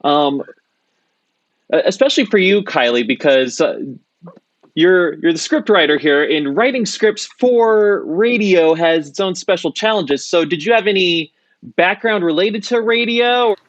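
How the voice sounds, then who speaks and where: A man's voice: sits high, expressive, unhurried, no echo, highs cut off. A man hosting an interview podcast, friendly and joking as he asks questions. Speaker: interview host.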